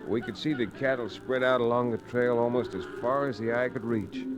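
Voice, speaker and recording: A man's voice: mid-range voice, a little fast, natural voice. An actor playing a shrewd, tough American frontier hero in an old-time radio western, telling his tale in folksy style. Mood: measured, suspenseful. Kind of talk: old-time radio drama acting, first-person narration. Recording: noisy vintage radio broadcast recording.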